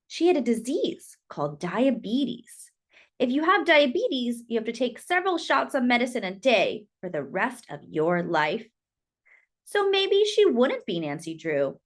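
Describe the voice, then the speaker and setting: A woman reading a children's picture book aloud as a storyteller, with expressive, deliberate phrasing and dramatic emphasis. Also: on the high side.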